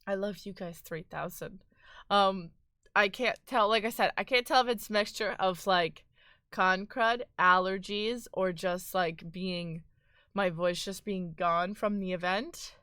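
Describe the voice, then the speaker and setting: A woman streamer who sounds congested and hoarse, chatting casually with her viewers and thanking them one by one. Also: medium pitch, fairly even intonation, a little slow, sharp tone, no echo, clean studio sound. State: congested, voice worn out. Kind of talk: casual live-stream chat.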